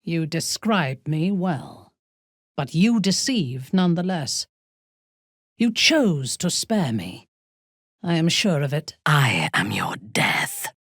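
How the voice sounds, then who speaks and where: Slow, husky, imperious dark elf warrior. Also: female voice, deep voice, very animated, no room sound, studio quality, heavily acted. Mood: haughty, then menacing. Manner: imperious, measured, menacing. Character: ruthless, haughty female dark elf warrior, cold and commanding. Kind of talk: video game character voice acting.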